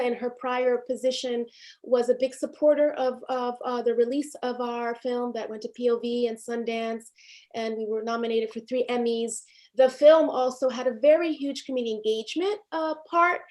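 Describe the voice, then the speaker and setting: A woman from Haiti in her fifties, a documentary filmmaker speaking on a panel over a video call, thoughtful and halting, with many fillers and restarts. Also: fairly high pitch, a little mellow. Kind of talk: reflective panel discussion.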